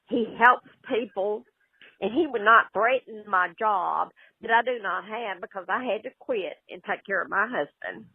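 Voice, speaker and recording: A woman speaks on a narrow, tinny phone line. Her voice is mid-pitched, and she talks in a halting, unscripted way, circling back over her words. The sound is dry, with no room on it. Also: slightly rough, no echo. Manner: halting, hesitant, repeats herself. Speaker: prank call recipient. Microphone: telephone.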